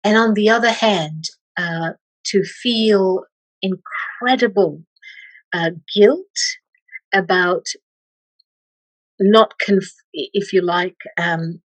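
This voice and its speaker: A middle-aged woman from Malaysia, a meditation teacher speaking as an interview guest, slow and hesitant with many pauses and restarts. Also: medium pitch, dry recording, poor audio. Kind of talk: reflective interview talk.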